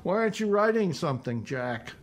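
A man's voice: high voice, big pitch swings, brisk, slight background noise, voice pushed up. A man reading a short story, voicing an effusive, nagging, slightly hysterical aging mother with a gushing, insistent manner. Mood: nagging, exasperated.

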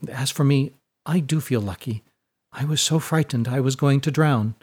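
A man voicing an earnest, sheltered upper-class young woman in a storybook, speaking in a formal, careful, gentle way. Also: mid-range voice, moderate pace, husky, lightly acted.

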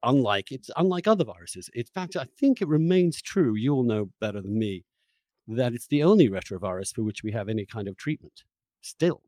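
A man interviewing in a reflective long-form conversation, speaking earnestly and emphatically, often halting and repeating words. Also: medium pitch, expressive, dark tone, dry recording.

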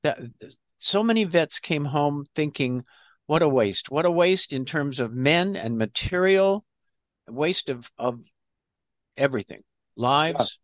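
An older man, an army veteran and interview guest, telling his story in a measured, reflective way. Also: on the high side, animated, no echo. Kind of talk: interview recollection.

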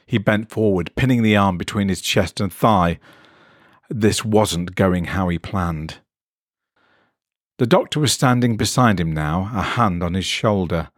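A man narrates a short story in a low voice. His delivery is animated, with wide swings in pitch, and the sound is dry and close. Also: a little slow, dry recording. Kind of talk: expressive scripted story narration.

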